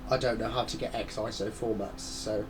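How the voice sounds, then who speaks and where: A man's voice: lowish voice, steady tone, a little fast, background noise. A man giving casual, rambling commentary while playing a racing game. He has a blocked nose from a head cold and breathes through his mouth. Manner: rambling, chatty, muttering asides. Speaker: gaming channel host.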